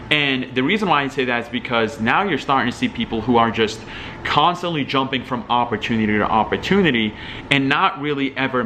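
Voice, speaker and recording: A young man from Algeria speaks in an average-pitched voice, rambling through casual commentary with lots of fillers and restarts. Faint noise hums behind him. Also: pushed and bright. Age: young adult. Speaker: entrepreneur vlogger. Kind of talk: casual talking-head commentary.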